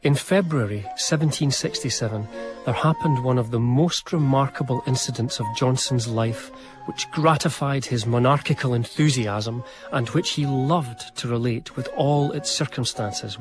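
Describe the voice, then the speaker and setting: Actor as gentleman biographer narrating over music. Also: mid-range voice, close to an everyday voice. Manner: formal, precise, literary storytelling. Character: admiring gentleman biographer recounting his great friend's life. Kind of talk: period radio drama narration.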